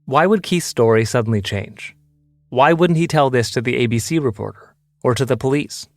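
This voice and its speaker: A man hosting an investigative podcast, calmly narrating a scripted documentary story. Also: medium pitch, moderate pace, no room sound. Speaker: podcast host and investigative reporter.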